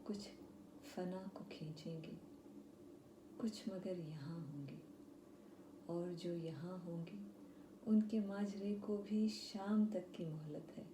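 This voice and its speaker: Slow, dark-voiced woman reciting poetry. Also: mid-range voice. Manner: measured, deliberate phrasing with pauses. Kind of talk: dramatic Urdu-style poetry recitation. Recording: roomy echo, faint background noise.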